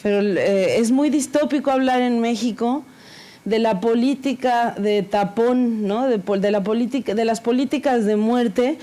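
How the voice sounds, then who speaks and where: A woman's voice: fairly high pitch, warm tone. Nervous woman giving a talk. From Mexico. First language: Spanish. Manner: earnest, halting, many fillers and restarts. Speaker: panel speaker. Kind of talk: academic conference talk.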